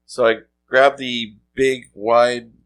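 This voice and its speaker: A man's voice: medium pitch, level tone, takes it slowly. Man telling a funny family story. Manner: laughing anecdote telling. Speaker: guest, a father. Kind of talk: casual chat.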